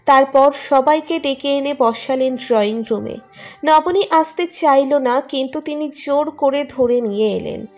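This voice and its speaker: A woman's voice: high-pitched, measured pace. A woman reading a scripted romantic story as its narrator. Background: music under the narration.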